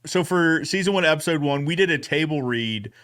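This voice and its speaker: A man's voice: a little high, expressive, brisk, a bit bright, clean tone. A man hosting a casual podcast recap, chatting in an upbeat, informal and colloquial way. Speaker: show host, fence expert.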